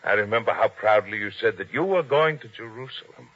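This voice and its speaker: An actor playing a humble, anxious but principled innkeeper in old-time radio drama style, earnest and formal. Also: male voice, on the low side, expressive, fairly quick. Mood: saddened, reproachful. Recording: dull, lo-fi old radio sound.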